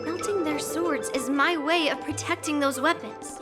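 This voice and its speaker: A woman's voice: sits high, moderate pace, close to an everyday voice. A young actress voicing a bold, blunt young swordswoman in a video game cutscene, talking in a teasing, confident way. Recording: game cutscene over music. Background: background music.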